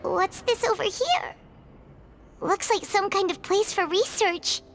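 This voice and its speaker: A woman voicing a tiny, excitable, childlike fairy companion in a video game, squeaky and chatty, full of exclamations. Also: slow pace, gravelly, heavily acted. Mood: disgusted, curious. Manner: exclaiming, self-important, refers to herself by name. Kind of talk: animated video game acting. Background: background music.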